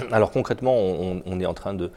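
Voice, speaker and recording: Man, very low voice, gravelly, mellow tone, slightly stuffy from a cold, stop-start executive interview. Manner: halting, many repeated words and fillers. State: has a cold. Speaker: biotech company executive. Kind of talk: business interview answers.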